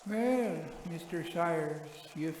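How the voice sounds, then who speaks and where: A man acts out a grave railway engine driver from a ghost story, with a lifted, mellow voice. He talks very slowly and pointedly, as if he knows something grim. There is faint noise behind the recording. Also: on the high side, expressive, voice pushed up. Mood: grim, knowing. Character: sober, serious male locomotive driver, knowingly grim.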